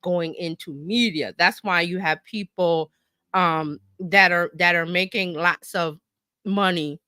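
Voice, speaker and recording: Bright-voiced woman coaching, dry close sound. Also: fairly low pitch, slow pace, no room sound. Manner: conversational, animated, acts out imagined dialogue, checks in with listeners. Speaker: marketing coach presenting. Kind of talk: informal live-stream teaching talk.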